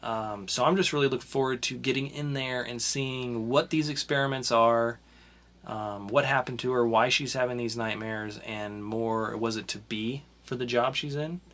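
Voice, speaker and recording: A young man co-hosts a casual podcast and reviews comics in an easygoing, rambling way, full of fillers and restarts. His voice is bright and forward, and his pitch sits in an ordinary middle range. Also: steady tone, moderate pace. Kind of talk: casual chat.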